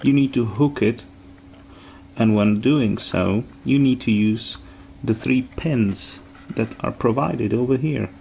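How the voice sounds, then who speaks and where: A man giving a careful, step-by-step hands-on repair tutorial in halting phrases. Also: medium pitch, creaky, a little mellow, slight background noise.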